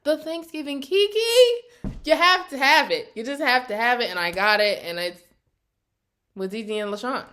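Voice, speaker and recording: Female, early 20s, American. Slightly high voice. Casual vlog storyteller, animated, sassy and full of slang. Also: moderate pace, a little hiss or hum. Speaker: vlogger. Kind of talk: casual, chatty personal vlog.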